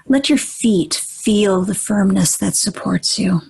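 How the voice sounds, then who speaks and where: Female meditation guide: very husky and breathy, gentle, unhurried, with pauses between short instructions. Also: mid-range voice, rough recording. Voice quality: breathy, husky, often near whisper.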